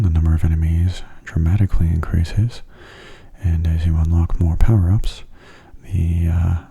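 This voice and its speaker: A man giving soft-spoken ASMR gameplay commentary in a hushed, breathy, unhurried and casual way, close to the microphone. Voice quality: breathy, husky low voice. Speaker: gaming ASMR video host. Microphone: close mono microphone.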